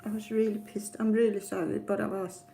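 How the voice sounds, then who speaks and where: A woman with a Danish accent, a native Danish speaker, telling a personal travel story casually in a hesitant, rambling way with frequent pauses. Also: medium pitch, little pitch movement, dark tone, slight background noise. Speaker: travel vlogger.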